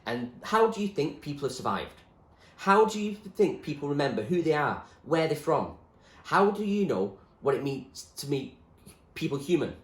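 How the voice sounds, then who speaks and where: A man's voice: on the high side, sing-song, normal speed, roomy sound, voice pushed up. A man playing a stubborn, idealistic and dreamy male friend in a storybook, speaking earnestly and insistently. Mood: fierce, insistent. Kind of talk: acted storybook dialogue.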